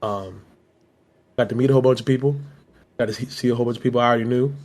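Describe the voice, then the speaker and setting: A man from Philadelphia co-hosting a podcast, his voice a little hoarse from being sick, rambling with repeated words and "you know what I'm saying.". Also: medium pitch, fairly even intonation, very fast, a little mellow. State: hoarse from illness. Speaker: podcast co-host. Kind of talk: casual chat.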